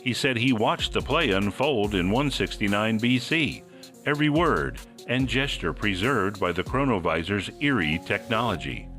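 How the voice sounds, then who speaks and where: A man's voice: lowish voice, lively intonation, normal speed, fairly bright tone, soft background music. A man hosting a podcast, reading scripted mystery narration in a suspenseful, theatrical manner. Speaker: podcast host.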